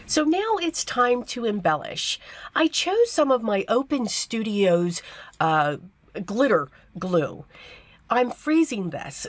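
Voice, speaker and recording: Hoarse woman narrating a craft tutorial. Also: a little high, no room sound. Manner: chatty step-by-step explaining with fillers. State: losing her voice, hoarse. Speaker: card-making host.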